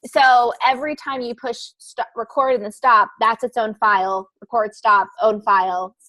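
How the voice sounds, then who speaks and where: A woman's voice: on the high side, steady tone, moderate pace, bright, forceful tone, poor audio. A woman in a video call, explaining how to start a podcast in a rambling, casual way, often searching for words. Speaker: podcast guest speaker. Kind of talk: casual how-to chat in an online meeting.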